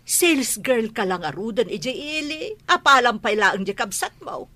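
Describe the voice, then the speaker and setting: A woman's voice: fairly high pitch, big pitch swings, normal speed, pushed and bright, no echo, full character voice. A woman playing an anxious but firm middle-aged rural mother in a radio drama, with an Ilocano accent. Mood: firm, chiding.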